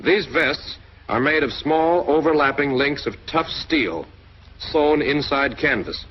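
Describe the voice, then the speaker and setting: American male narrator, 1940s military training film, mid-pitched, instructive, direct address; dull, hissy vintage soundtrack.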